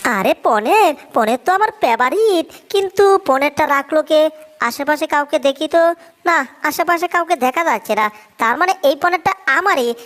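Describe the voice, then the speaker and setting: Very high-pitched, exclaiming little cartoon mouse. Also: expressive, normal speed, fairly bright tone. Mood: greedy delight. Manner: exclamatory, self-muttering, wailing in colloquial speech. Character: cheeky, greedy little cartoon mouse, easily panicked. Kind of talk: comic cartoon dubbing.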